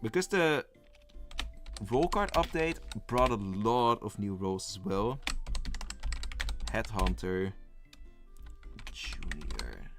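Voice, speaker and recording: A man's voice: on the low side, big pitch swings, slow pace, long pauses, over music. A young man, a native Dutch speaker, streaming live in casual chat, rambling and thinking aloud as he reads the chat and lists game roles.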